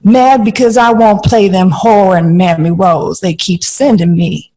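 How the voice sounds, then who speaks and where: A woman's voice: medium pitch, steady tone, measured pace, warm tone. An actress playing a bold, outspoken jazz-era film star in an audio drama, blunt and salty, stretching her phrases for emphasis. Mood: bitter, indignant. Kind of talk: period audio drama dialogue.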